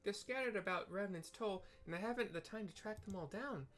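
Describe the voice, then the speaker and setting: A man's voice: high voice, a bit bright, voice pushed up. A man voicing a flustered, overwhelmed young female receptionist from a fantasy game, fussing and exclaiming. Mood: startled, eager. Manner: exclaiming, fussing, hurried pleading.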